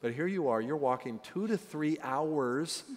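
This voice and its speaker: A man hosting a church service interview, telling stories in a chatty, animated way with lots of fillers. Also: on the high side, a little slow, long reverb tail. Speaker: pastor interviewing a guest. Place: church sanctuary with congregation.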